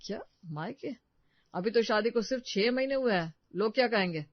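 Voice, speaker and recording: A man's voice: sits high, animated, moderate pace, no room sound. Weary, conflict-shy young husband acted by a man, dismissive, slightly muffled dry recording. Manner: dismissive, placating. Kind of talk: Hindi family drama storytelling.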